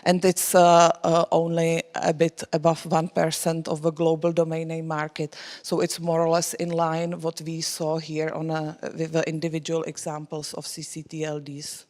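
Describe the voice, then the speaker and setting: Woman presenter, low and flat delivery, hesitant with frequent fillers. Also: moderate pace, few pauses. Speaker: conference presenter. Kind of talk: conference presentation.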